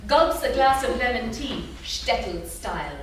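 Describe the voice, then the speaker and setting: A woman's voice: a little high, very animated, a little slow. A woman reading out stage directions as scripted narration at a staged reading. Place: theatre. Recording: very echoey, noisy hall from far off.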